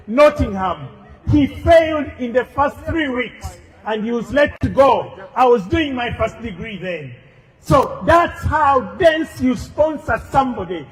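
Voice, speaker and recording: A man's voice: extremely high pitch, in a large hall, poor audio. A middle-aged man with a Ugandan accent giving a declamatory, accusatory protest speech. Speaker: protest speaker, activist. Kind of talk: impassioned outdoor protest speech.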